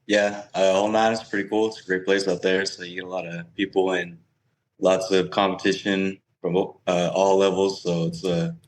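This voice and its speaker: A man's voice: deep voice, level tone, normal speed, low-quality recording. A young man speaking casually as an interview guest and pro player. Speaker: guest, professional cornhole player.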